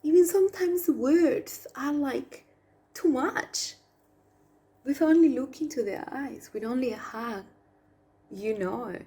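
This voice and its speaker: A woman from Argentina with an Argentine Spanish accent, a Spanish native speaker giving a spiritual message to viewers, speaking softly and dropping into whispers on some words. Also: sits high. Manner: slow, soft, drops to whispers on some words. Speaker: spiritual channel.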